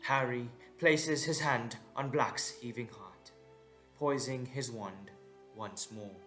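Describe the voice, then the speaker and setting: Male narrator for fantasy audio play: mid-pitched, very unhurried, suspenseful, deliberate pauses, light music bed. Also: sharp tone. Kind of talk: dramatic scripted audio story narration.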